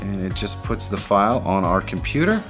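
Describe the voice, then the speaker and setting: A middle-aged man with a fairly low voice talks through a software setup one click at a time, steady and methodical. Soft music sits faintly under his voice, recorded at a desk in a home studio. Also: moderate pace. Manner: plain, methodical walkthrough. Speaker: tutorial host. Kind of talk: step-by-step software tutorial.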